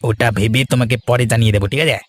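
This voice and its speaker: Man acting a fast-talking, sly village schemer. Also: mid-range voice, fairly even intonation, no room sound, lightly acted. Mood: sly, eager. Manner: eager, conspiratorial. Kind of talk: Bengali cartoon voice acting.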